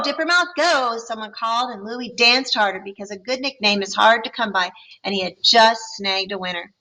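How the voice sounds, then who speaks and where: A woman teacher reading a picture book aloud to her students, pausing to explain and ask them questions. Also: fairly high pitch, sharp tone, rough recording. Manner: friendly, explaining to children between passages.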